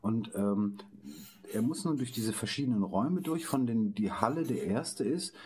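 A man's voice: lowish voice, expressive, normal speed, darkish tone. A man informally explaining a concept, hesitant and correcting himself as he searches for words. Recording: faint background noise.

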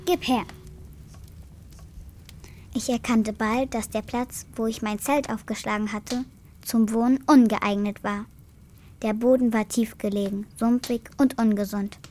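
A woman's voice: fairly high pitch, steady tone, measured pace, pauses often, darkish tone, natural voice. A young female actor playing an earnest, stubborn girl in a children's radio play. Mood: frank.

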